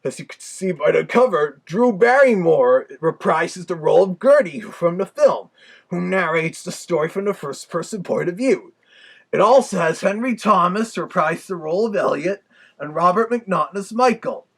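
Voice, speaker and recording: Guy doing a big bad wolf reviewer, real gravelly n husky, chatty, folksy, nostalgic. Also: high voice, lively intonation, normal speed, full character voice. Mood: informative. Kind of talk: casual review commentary.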